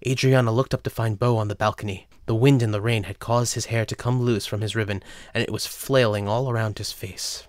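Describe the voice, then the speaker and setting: Male narrator, lowish pitch, scripted storytelling, dry sound without room. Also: fairly even intonation, brisk, few pauses, no echo.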